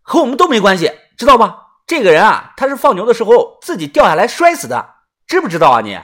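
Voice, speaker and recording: A narrator voicing a brash, excitable young male tomb raider in an audiobook, blunt and urgent. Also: mid-range voice, normal speed, slightly rough. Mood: scolding. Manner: blunt, urgent, forceful questioning.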